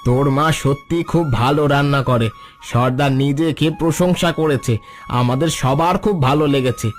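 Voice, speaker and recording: An actor playing a humble, soft-hearted bandit in a cartoon, speaking in an earnest, plain, familiar way. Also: male voice, medium pitch, normal speed, close to an everyday voice. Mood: gentle, affectionate. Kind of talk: Bengali cartoon story voice acting. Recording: music playing under the voice.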